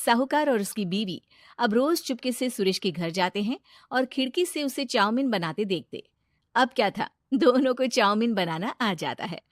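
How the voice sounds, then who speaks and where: A woman's voice: fairly high pitch, lively intonation, moderate pace, no room sound, clean studio sound. A woman narrating a scripted moral story as a storyteller.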